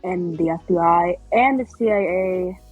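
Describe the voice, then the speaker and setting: A woman's voice: lowish voice, unhurried, darkish tone, slightly rough, highs cut off, soft background music. A woman reading film dialogue at a casual amateur table read.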